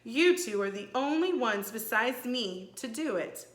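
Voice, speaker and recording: Woman as a gracious old enchantress, lively intonation. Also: on the high side, reverberant. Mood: kindly, explaining. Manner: measured, gracious, precise.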